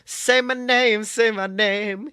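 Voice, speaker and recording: A man co-hosting a podcast in casual comedic banter, animated and jokey with theatrical emphasis. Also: high-pitched, slow, clear, resonant tone, no room sound, studio quality. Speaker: podcast co-host.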